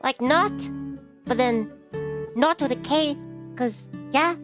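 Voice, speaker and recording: A woman's voice: high voice, slow. A woman streamer reading a visual novel aloud, mixing narration with casual asides. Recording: music behind the voice.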